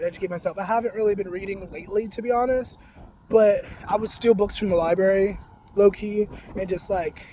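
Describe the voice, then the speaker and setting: A young man talking casually to camera in a soft-spoken, rambling way, full of "like". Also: high voice, a little hiss or hum. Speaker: spiritual vlogger.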